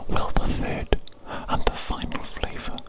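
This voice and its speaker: A woman's voice: a little slow, natural voice. A woman playing a playfully evil doctor in an ASMR medical roleplay, whispering soothingly and repeating her words. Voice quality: breathy unvoiced whisper. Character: playfully evil doctor gently examining a big creature patient. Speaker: ASMR performer.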